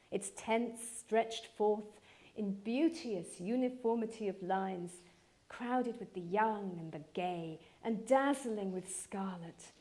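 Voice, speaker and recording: A woman with a mid-pitched voice gives an expressive reading of a literary novel. She phrases deliberately and dramatically, like a stage actress telling a story.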